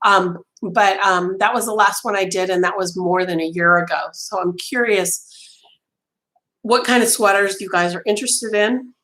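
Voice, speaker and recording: A woman's voice: mid-range voice, fairly even intonation, moderate pace, a bit bright, rough recording. A middle-aged woman losing her voice, chatting casually with live viewers from a small home craft room over a webcam. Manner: chatty, many ums and restarts, talks with her hands. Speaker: livestream host.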